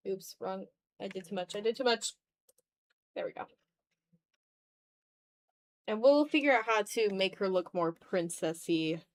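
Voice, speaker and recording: A woman livestreamer chatting casually while drawing, thinking aloud in fragments with a self-deprecating manner. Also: medium pitch. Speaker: art livestreamer.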